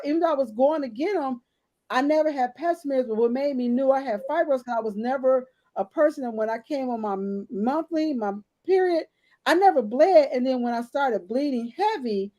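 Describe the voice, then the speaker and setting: A woman in her late fifties tells her own story with blunt candor and talks straight to the women listening. Her voice sits a little high but sounds mellow and relaxed. It is easygoing, personal chat. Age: 50s. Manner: candid, storytelling, addresses listeners as ladies. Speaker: podcast co-host. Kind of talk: casual health chat.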